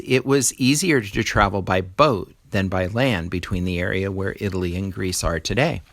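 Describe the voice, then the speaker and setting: Man, lowish voice, teacher's read-aloud, explanatory delivery, stressed key words, dry close sound. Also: fairly bright tone, no room sound. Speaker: teacher reading a lesson aloud. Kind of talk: educational read-aloud narration.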